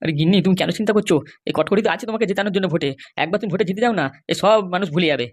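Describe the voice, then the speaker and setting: A woman doing a fast-talking henpecked cartoon husband. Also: medium pitch, close to an everyday voice. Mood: pleading. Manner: pleading, placating. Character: meek, pleading henpecked husband. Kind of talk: comedy cartoon dialogue.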